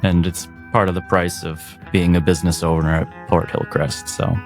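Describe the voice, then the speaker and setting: A man's voice: low voice, little pitch movement, normal speed, soft background music, close to an everyday voice. A man voicing a weary, grudging tavern owner in a tabletop game, terse and matter-of-fact.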